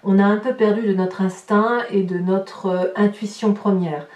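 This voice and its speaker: A woman giving an informal tarot reading to viewers, in a halting way with repeated words and little tag questions. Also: medium pitch, steady tone, darkish tone. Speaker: tarot reader presenting horoscope readings. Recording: roomy echo, distant mic, faint noise.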